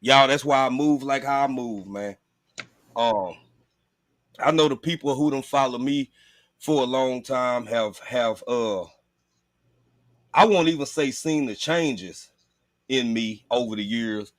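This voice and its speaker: A man's voice: mid-range voice, slow, pauses often, dry recording, studio quality. A man chatting casually on a livestream in a blunt, profane, informal way. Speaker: livestream host.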